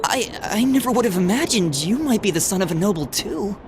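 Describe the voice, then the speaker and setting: An actress playing a thoughtful, friendly young student in a video game, speaking tentatively but expressively. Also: female voice, mid-range voice, expressive, brisk, background noise, close to an everyday voice. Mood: surprised, concerned. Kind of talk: video game cutscene dialogue acting.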